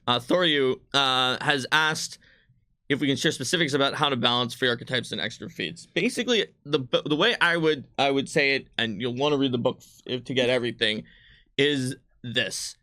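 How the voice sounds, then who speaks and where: A man's voice: medium pitch, normal speed, pushed and bright. A man working as a game designer, answering questions in casual, discursive panel chat and often rewording himself. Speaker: game designer on a company livestream.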